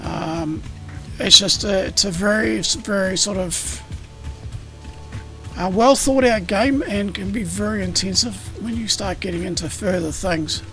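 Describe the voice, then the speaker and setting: A man streaming a factory-building game, explaining it in a rambling way with a slightly croaky voice. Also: high-pitched, unhurried, pushed and bright. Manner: rambling, self-correcting, explanatory. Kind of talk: casual gameplay explanation. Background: game music.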